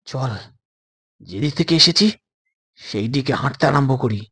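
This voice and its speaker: A man's voice: mid-range voice, lively intonation, a little slow, fairly bright tone, slightly rough, full character voice. A man voicing a wary, frightened young male adventurer in an audio story, talking in an urgent, conspiratorial way. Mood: anxious.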